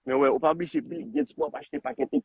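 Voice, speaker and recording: A young man in his twenties talks loosely and rambles, restarting his sentences as he goes. His voice is gravelly and husky, sitting a little high for a man. The sound is dry and dull, like a lo-fi live stream. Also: very animated, a little fast, dry recording. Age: 20s. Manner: rambling, switches between Creole and French with many restarts. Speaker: guest, agriculture founder. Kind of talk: casual live interview chat.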